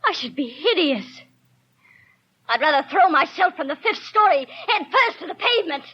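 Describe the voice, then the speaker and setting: High, anxious young mother. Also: very animated, normal speed, a bit bright. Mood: fearful. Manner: fretful, pleading. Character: poor, naive, anxious young working mother. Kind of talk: 1930s radio acting. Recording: muffled 1930s radio recording.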